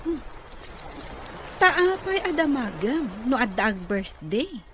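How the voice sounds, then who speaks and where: Woman playing a slow-talking gossip, noisy track. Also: high voice, sing-song, heavily acted. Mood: curious, gossipy. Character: nosy, gossiping female office worker. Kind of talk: Ilocano radio comedy drama acting.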